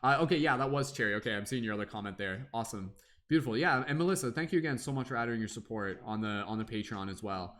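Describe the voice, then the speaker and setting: A man's voice: mid-range voice, animated, fast talker, a bit bright. A young man hosting a casual tarot livestream, rambling with many fillers and humming to himself between comments.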